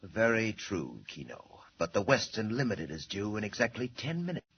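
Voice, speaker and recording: An actor playing a sinister, shadowy mastermind in a 1940s radio drama, speaking in a measured, formal, coolly commanding way. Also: male voice, on the low side, big pitch swings. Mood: coolly menacing. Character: sinister shadowy male villain boss, coldly menacing mastermind. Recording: muffled, noisy 1940 radio recording.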